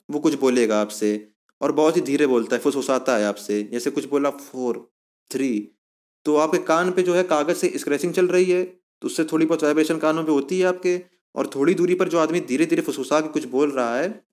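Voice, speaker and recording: A man giving an informal explanatory lesson as an exam coaching presenter, going step by step and repeating himself to recap. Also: a little high.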